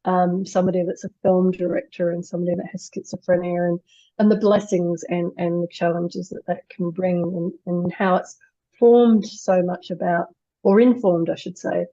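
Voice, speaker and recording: Effusive woman host, mellow dark tone, dull lo-fi recording, gushing praise with restarts. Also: lowish voice, level tone, moderate pace. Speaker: show host. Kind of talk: upbeat interview hosting. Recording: dull lo-fi sound.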